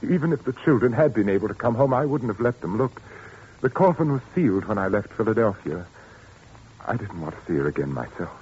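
A man's voice: on the low side, expressive, brisk, darkish tone, natural voice. A man playing an anguished, brooding and stern widowed father in a 1940s radio suspense drama. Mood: anguished, sorrowful. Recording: muffled, noisy 1940s radio recording.

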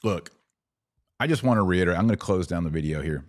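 Deep-voiced American man, emphatic podcast host. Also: lively intonation, fast, darkish tone, dry recording, studio quality. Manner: emphatic, preachy, rhetorical questions. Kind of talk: opinion commentary monologue.

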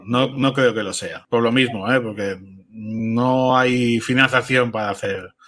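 A man's voice: medium pitch, steady tone, measured pace, a bit bright. A man with an accent from Spain chatting casually as a guest, often repeating himself as he talks. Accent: Spanish from Spain. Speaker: podcast guest, filmmaker. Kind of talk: casual chat.

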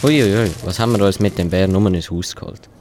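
A man's voice: deep voice, brisk, darkish tone, close to an everyday voice. A male performer with a Swiss German accent playing an easily flustered man in an amateur radio play. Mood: grumbling. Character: English family father and grumbling taxi driver, easily flustered. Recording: clear outdoor traffic-like background noise.

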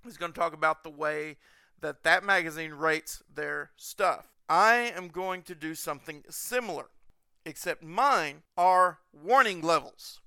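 High-pitched man reviewing on a podcast. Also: measured pace, gravelly, pushed and bright, clean tone, no echo.

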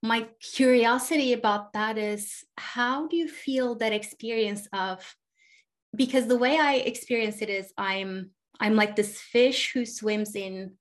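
A woman's voice: fairly high pitch, unhurried. A woman from Turkey hosting an interview, asking long, curious questions while searching for her words. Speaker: podcast host.

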